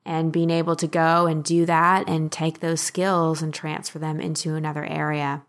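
A woman with a voice a little on the low side speaks as a life coach and podcast host. She explains things in an instructive way and encourages the listener. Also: flat delivery, moderate pace.

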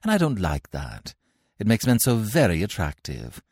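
A man's voice: lowish voice, huge pitch swings, moderate pace, darkish tone, slightly rough, dry recording, studio quality, pitched above the performer's own voice, exaggerated voice. A man voicing Gwendolen, a haughty young society woman who talks in a stiff, formal, condescending way. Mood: grand. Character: haughty young society lady, polite but cutting.

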